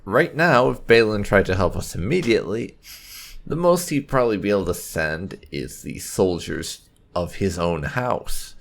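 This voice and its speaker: A man giving roleplay commentary on a video game, thoughtful and deliberate, voicing his lines in between remarks. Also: sits low, expressive, vocal fry. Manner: thoughtful, deliberate, reading character dialogue aloud. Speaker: gaming channel host. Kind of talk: roleplay let's play commentary.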